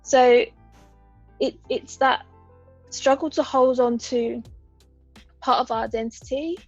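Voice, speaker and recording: Brief: woman, 30s, raised partly in Uganda, husky and fairly high voice, measured explainer, dull lo-fi podcast sound. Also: steady tone, soft background music. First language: a Ugandan language. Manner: thoughtful, explanatory, lays out examples. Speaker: podcast guest. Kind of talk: conversational interview.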